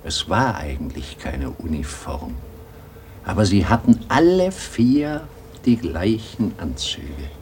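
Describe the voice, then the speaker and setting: An actor playing a weary, wistful old grandfather in a radio drama, with a husky voice and a brooding, halting delivery. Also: low voice, big pitch swings, a little mellow, a little hiss or hum, heavily acted.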